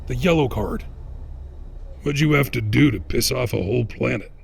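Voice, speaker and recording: An actor playing a sly, condescending corrupt inspector in a sci-fi audio drama, folksy and smug with quiet menace. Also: male voice, medium pitch, normal speed, vocal fry, music in the background. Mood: sly, mocking. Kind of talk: science fiction audio drama acting.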